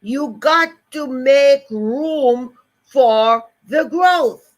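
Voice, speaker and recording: Older Jamaican woman, motivational speaker, slow and emphatic, slightly high voice, repeats key lines. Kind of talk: motivational live-stream talk.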